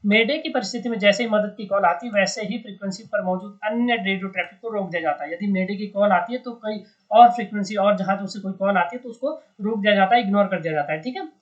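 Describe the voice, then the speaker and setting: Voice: mid-range voice, fast talker. An educator giving an informal explainer on the news in a didactic way, repeating points and often adding little check-in tags. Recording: dull lo-fi sound.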